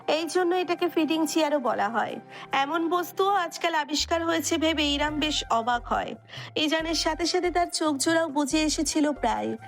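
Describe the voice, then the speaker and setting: A woman's voice: high-pitched, normal speed. A woman reading scripted narration for a romantic story. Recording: music under the voice. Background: background music.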